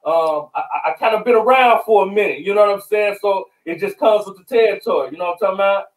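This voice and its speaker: A man's voice: high voice, quick pace. A man hosting an interview, talking in an easygoing way with street slang and a lot of agreeing. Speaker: interview host. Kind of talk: casual interview talk. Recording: echoey room, distant from the mic.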